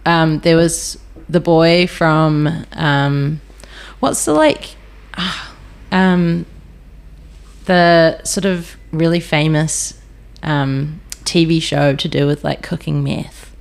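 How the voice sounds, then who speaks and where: A New woman speaks in a very low, gravelly register, answering interview questions in a relaxed way. She hesitates often and fills pauses with soft ums. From New Zealand. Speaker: guest, cinema venue manager. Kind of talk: casual interview chat.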